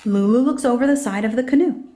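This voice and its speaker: A woman's voice: fairly high pitch, fast, warm tone, a little hiss or hum. A woman reading a children's story aloud as scripted narration. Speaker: storybook reader.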